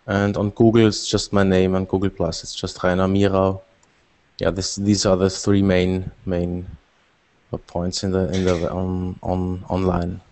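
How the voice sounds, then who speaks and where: A man from Austria with a low, even voice that barely rises or falls. He talks casually and haltingly, doubling back on his words. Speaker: landscape photographer. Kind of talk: casual self-introduction.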